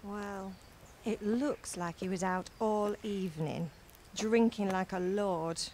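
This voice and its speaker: An actress playing a brisk, scolding but caring middle-aged mother from a medieval village in a video game. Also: medium pitch, darkish tone, a little hiss or hum. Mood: casual. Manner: chiding, matter-of-fact. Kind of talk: naturalistic video game acting.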